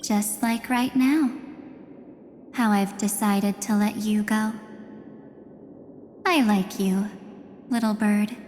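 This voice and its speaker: Woman playing enigmatic game villainess, slow deliberate delivery, softly taunting, long reverb, music behind. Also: medium pitch, lightly acted. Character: enigmatic, menacing, composed woman guarding a dark domain. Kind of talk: scripted video game acting.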